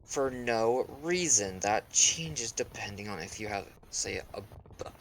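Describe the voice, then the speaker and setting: A man with a lowish voice, complaining dryly and deadpan as he plays a game. A faint hiss of noise sits under his voice. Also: slow, poor audio. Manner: dry, grumbling, deadpan complaints. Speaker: streamer co-host. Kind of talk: casual gaming chat.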